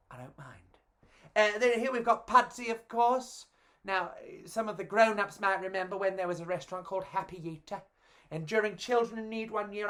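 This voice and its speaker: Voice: medium pitch, a bit bright. A British storyteller presenting for children. The delivery is theatrical and effusive, in a playful pantomime style with lots of exaggerated emphasis.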